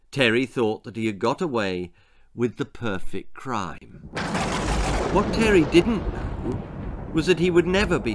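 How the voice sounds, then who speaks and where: Animated older British storyteller. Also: male voice, medium pitch. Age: 60s. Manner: theatrical, deliberate, relishing phrasing with dramatic pauses. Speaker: author reading his own stories. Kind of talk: dramatic children's storytelling. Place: small indoor room lined with books.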